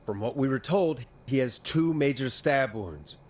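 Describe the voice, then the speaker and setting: An actor playing a steady, reassuring but urgent radio host in a horror game drama. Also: male voice, medium pitch, unhurried. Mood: urgent, earnest. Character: calm, earnest male 1980s radio DJ in crisis.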